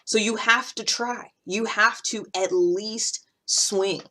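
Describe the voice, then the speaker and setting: A woman's voice: medium pitch, steady tone, slow pace, sharp tone. An American woman in her thirties giving informal, unscripted advice to camera, emphatically repeating key points for stress. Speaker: podcast host giving self-improvement advice. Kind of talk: casual, unscripted talk to camera.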